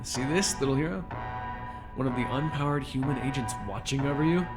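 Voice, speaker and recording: A man's voice: mid-range voice, fairly quick, over loud music, natural voice. A man playing a smug, menacing male supervillain in an ASMR roleplay, taunting and commanding the listener with condescension. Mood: furious, desperate.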